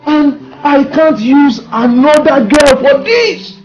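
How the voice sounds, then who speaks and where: A man's voice: very high voice, lively intonation, takes it slowly, highs cut off, exaggerated voice. An actor playing a scheming man who urgently pleads for help with a ritual plan.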